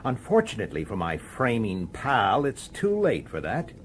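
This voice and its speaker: Defiant police detective, swooping pitch. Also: male voice, huge pitch swings, moderate pace. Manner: insistent, accusatory, clipped sardonic questioning. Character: dogged, defiant male police detective, framed and determined. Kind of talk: radio drama acting. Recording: slightly noisy radio drama recording.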